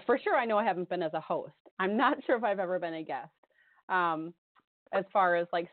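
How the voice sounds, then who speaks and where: A woman co-hosting a radio talk show, hoarse with a cold, chatting casually and rambling, often laughing. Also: on the low side, dry recording. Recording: tinny phone-line sound.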